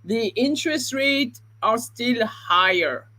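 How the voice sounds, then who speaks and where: A chatty woman with a slightly high voice co-hosts a casual real estate talk. She laughs easily and often trails off mid-thought. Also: lively intonation, slow pace, sharp tone, rough recording. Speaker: real estate podcast co-host. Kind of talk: casual podcast chat.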